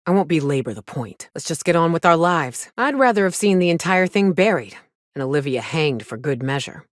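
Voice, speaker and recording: A woman's voice: low voice, very animated, a little fast, no echo, clean studio sound. Blunt, weary frontier woman. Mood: resigned, disapproving. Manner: blunt, plainspoken, folksy, sweary. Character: weary, cynical but caring frontier woman, hard-drinking hunter. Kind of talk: video game character dialogue.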